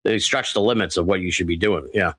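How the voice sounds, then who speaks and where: A man's voice: low-pitched, fast talker, pushed and bright, breathy edge, no echo. A man hosting a podcast, chatting casually and informally with a guest. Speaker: podcast host. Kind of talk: casual interview chat.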